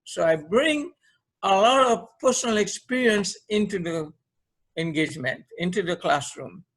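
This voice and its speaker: Higher-pitched Trinidadian man, podcast guest. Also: animated, unhurried, fairly bright tone, poor audio. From Trinidad and Tobago. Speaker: podcast guest, leadership trainer. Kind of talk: conversational interview, recounting career.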